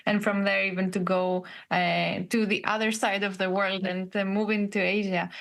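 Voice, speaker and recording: Female co-host from Greece, mid pitch, easy chatty questions. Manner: conversational, follow-up questions. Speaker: podcast co-host. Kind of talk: casual interview talk.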